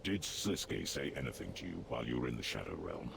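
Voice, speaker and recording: A man voicing a grave, loyal demonic warrior in a video game, stern and formal, with a gravelly voice. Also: a bass voice, sing-song, a little fast, background noise, heavily acted. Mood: stern, suspicious. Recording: music behind.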